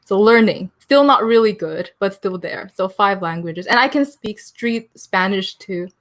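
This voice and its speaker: A young woman chatting as a podcast guest, talkative and quick to laugh. She rambles a little and keeps things light and conversational. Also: medium pitch, expressive. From Philippines and Taiwan. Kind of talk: casual interview chat.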